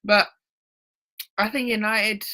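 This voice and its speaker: A woman's voice: mid-range voice, flat delivery, slow pace, pushed and bright. A woman co-hosting a casual football chat, talking hesitantly and thinking aloud. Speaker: co-host, neutral fan.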